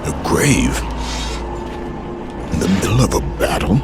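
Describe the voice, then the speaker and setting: An actor playing a gruff, suspicious warrior in a video game, terse and challenging. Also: male voice, deep voice, big pitch swings, unhurried. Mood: suspicious, curt. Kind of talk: dramatic video game acting. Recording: loud music over the voice.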